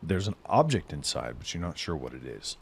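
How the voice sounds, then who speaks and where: A man's voice: a bass voice, very animated, brisk, vocal fry, slight background noise. A man narrating a tabletop game as the game master.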